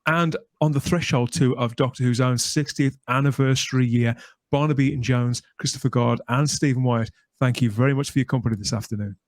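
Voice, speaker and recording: A man's voice: medium pitch, steady tone, a little fast, no echo. A man hosting a podcast in a chatty, enthusiastic, promotional way. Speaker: podcast host. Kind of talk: podcast interview and scripted sign-off.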